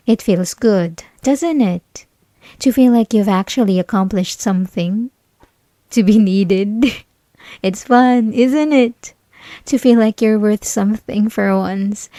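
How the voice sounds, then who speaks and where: Woman acting a slow, taunting young female bully. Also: medium pitch, dark tone, no echo. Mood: condescending, coaxing. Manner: taunting, condescending, teasing, with breathy whispered asides. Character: mocking, possessive young female bully, sweetly menacing. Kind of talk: ASMR roleplay, intimate scripted monologue to listener.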